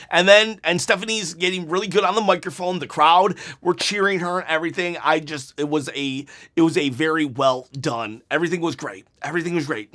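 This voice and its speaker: A man hosting a wrestling channel, giving an unedited review right after a show, excitable and rambling, his voice going hoarse. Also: fairly high pitch. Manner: excitable, rambling, repeats himself.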